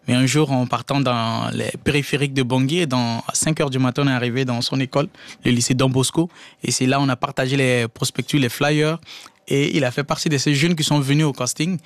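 A man from the Republic, a film director answering interview questions earnestly, with halting restarts. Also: mid-range voice, no echo. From Central African Republic.

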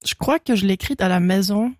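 A woman's voice: medium pitch, brisk, no room sound. A young woman from Switzerland, German her first language, chatting as a singer-songwriter guest in a radio studio, laughing often and talking with many fillers and restarts. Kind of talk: casual radio interview.

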